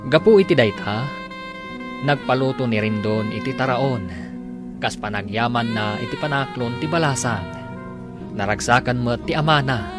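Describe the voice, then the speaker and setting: Male announcer, mid pitch, Ilocano Filipino accent, scripted dramatic radio narration, clear instrumental music behind. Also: lively intonation, normal speed.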